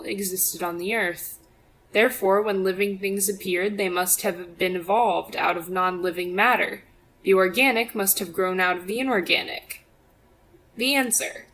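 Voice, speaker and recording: A woman's voice: mid-range voice, a bit bright, rough recording. A woman reading a religious apologetics audiobook as scripted narration, in a formal, expository manner. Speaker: audiobook reader.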